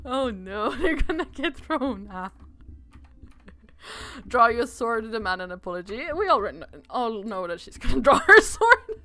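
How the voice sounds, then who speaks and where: Female game streamer, high voice, unhurried, playful reading mixed with casual remarks. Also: very animated, bright, forceful tone. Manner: giggly, playful. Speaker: game player on a livestream. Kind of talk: reading game text aloud with casual commentary.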